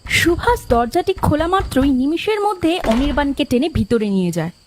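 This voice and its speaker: Woman storyteller, high-pitched, animated intonation, scripted horror narration, faint insect noise. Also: brisk.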